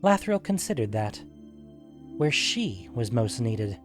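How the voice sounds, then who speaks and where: A man's voice: mid-range voice, big pitch swings, takes it slowly. Man narrating a fantasy story over music.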